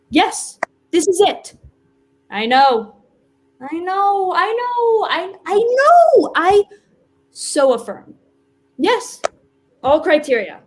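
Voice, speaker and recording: A woman's voice: very high-pitched, full character voice. A woman actor in a staged play reading, playing Death as an exasperated, reluctant office worker with an emphatic, conversational delivery. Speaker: actor in a community theater reading.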